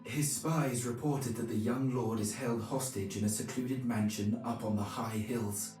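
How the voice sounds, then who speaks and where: An actor playing a pious medieval monk narrating his journal for a video game in a formal, measured way. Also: medium pitch, steady tone, a little mellow, distant mic, close to an everyday voice. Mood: solemn, reflective. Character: male medieval monk chronicler, pious, solemn. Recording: very echoey, music behind.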